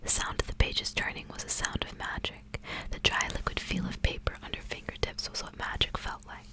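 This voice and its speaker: A woman speaking in a soft, breathy, intimate whisper, as an ASMR host. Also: brisk. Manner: soft, hushed, intimate delivery. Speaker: ASMR host reading aloud. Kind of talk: whispered ASMR storybook reading with casual asides.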